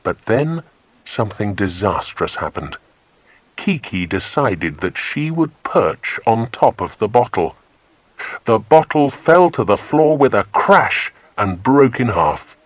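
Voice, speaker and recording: Deep-voiced man narrating, husky and animated. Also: takes it slowly, no echo. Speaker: audiobook narrator. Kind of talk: scripted children's story narration.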